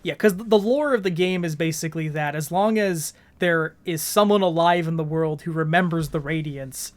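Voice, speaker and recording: A male podcast co-host with a somewhat high-pitched voice. He talks in a casual, explanatory way, using everyday colloquial language as he discusses video games. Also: moderate pace.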